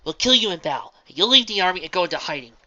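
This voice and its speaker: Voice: medium pitch, animated, fast talker, pitched above the performer's own voice. Regal pony princess, grave and sorry, storybook voice, a bit lo-fi, as a reader puts her on. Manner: grave, pleading, sometimes hushed.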